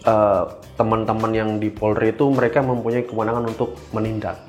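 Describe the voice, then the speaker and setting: A young man with an Indonesian accent giving casual explanatory answers as an interview guest, informal and halting as he thinks aloud. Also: lowish voice, level tone, faint music behind. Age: young adult. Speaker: interview guest, government cybersecurity engineer.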